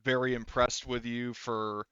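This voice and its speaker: A man's voice: medium pitch, level tone, measured pace, a bit bright, smooth, no room sound. Rambling man running a tabletop game, dull recording. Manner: rambling, conversational, hedging. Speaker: game master. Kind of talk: casual tabletop roleplay narration.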